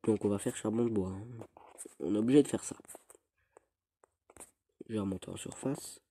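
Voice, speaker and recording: A teenage boy with a cold, rambling through casual gameplay commentary with halting restarts. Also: on the low side, dark tone, a little hiss or hum. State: stuffy with a cold. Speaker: gaming youtuber.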